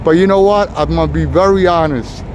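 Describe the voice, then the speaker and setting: A man's voice: on the high side, brisk, darkish tone, lo-fi sound. A man giving a rambling, casual walking tour to his viewers while walking down a city street. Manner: chatty, rambling, talks to viewers. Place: outdoors on a busy city street. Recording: noisy street recording. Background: street traffic noise.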